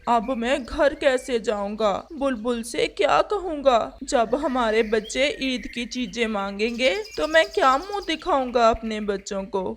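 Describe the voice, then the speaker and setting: Woman as a gentle, earnest father pigeon, highish voice. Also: expressive, a little slow, hardly any pauses, a little hiss or hum. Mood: sorrowful. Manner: earnest, polite, pleading.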